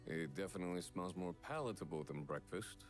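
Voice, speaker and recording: A man's voice: deep voice, fairly quick, faint music behind, lightly acted. A man playing an aloof young man with a dry, formal way of talking.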